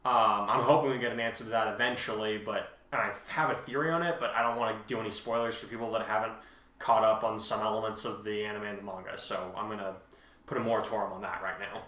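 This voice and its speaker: A man casually explaining as a co-host, halting but emphatic as he talks through his points. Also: on the low side, fairly even intonation, pushed and bright. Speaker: co-host and fan fiction author responding to reviews. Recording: roomy, echoing sound.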